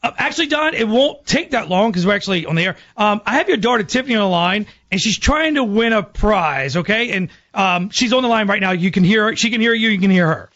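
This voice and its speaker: A man's voice: sits high, animated, quick pace, hardly any pauses, fairly bright tone, muffled recording. An American man hosting a radio show, talking casually to callers in a phone-in prank segment. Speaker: radio host.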